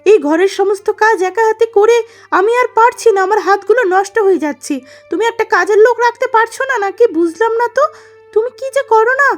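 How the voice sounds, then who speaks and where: Very high, whiny, vain young wife. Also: female voice, a little fast. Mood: petulant. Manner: wailing, petulant, theatrical. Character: vain, selfish young wife, whining and demanding. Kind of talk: melodramatic cartoon story acting.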